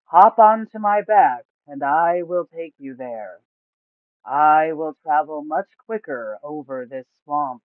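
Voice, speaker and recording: A woman acts out a huge old turtle from a fantasy storybook. He is male and friendly, and he draws every word out slowly and deliberately. The sound is close and dry, with a thin, limited band. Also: sits low, dry recording, phone-quality sound. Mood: slow, concerned. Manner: slow, drawn-out speech. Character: giant ancient male turtle, slow and friendly.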